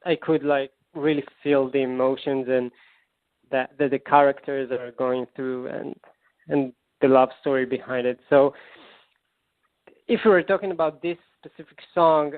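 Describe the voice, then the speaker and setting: A man's voice: medium pitch, fairly even intonation, no room sound. Israeli man on a tinny phone line. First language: Hebrew. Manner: halting, many fillers and restarts. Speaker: singer-songwriter guest. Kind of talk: casual interview answers.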